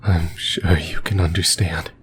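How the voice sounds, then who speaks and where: Man acting a slow, low, whispering demon. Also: level tone, a little hiss or hum. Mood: shy, fixated. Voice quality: breathy whisper. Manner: hesitant, rambling, intimate. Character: obsessive, lonely male sleep paralysis demon. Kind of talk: whispered ASMR horror roleplay monologue.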